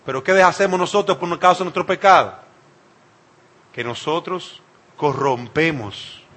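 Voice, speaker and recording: A man's voice: fairly high pitch, unhurried, a bit bright. A man from the Dominican Republic, speaking with a Dominican Spanish accent, preaching an emphatic sermon and repeating phrases for effect.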